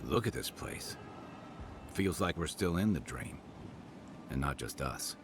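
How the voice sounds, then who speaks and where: An actor playing a wary, plain-spoken adventuring companion in a video game. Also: male voice, a bass voice, animated, a little slow, music in the background. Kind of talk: video game cutscene dialogue.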